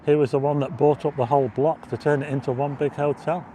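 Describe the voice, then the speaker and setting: Man, livestream host, casual chatty, slightly high voice, hoarse, clears throat often, outdoor seafront, faint street noise. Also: little pitch movement, fast talker, mellow. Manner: chatty, reads out viewer comments, frequent throat clearing. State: voice going hoarse. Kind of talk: casual walking livestream chat. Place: outdoors on a windy seafront at dusk.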